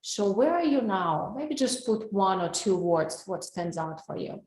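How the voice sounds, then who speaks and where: A woman with a Russian accent talks through a wellness webinar, earnest and personal, turning to her audience with questions. Her voice sits at a middle pitch and comes over a computer mic on a video call. The room around her sounds echoey. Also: big pitch swings, unhurried, a little mellow. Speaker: wellness coach. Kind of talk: live webinar presentation.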